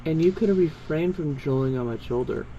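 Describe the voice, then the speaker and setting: Mellow man reading a story, slight background noise. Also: on the high side, a little fast, muffled recording. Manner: stumbles over long words. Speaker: fan fiction reader. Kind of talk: scripted story narration read aloud, with character dialogue. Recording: amateur recording with background noise.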